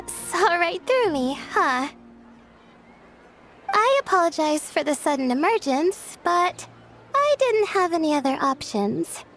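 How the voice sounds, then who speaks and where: A woman voicing a composed, gentle young woman in a video game, speaking in a soft, deliberate, formal manner. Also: very high-pitched, big pitch swings, soft background music, full character voice. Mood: composed, confiding. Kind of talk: video game character voice acting.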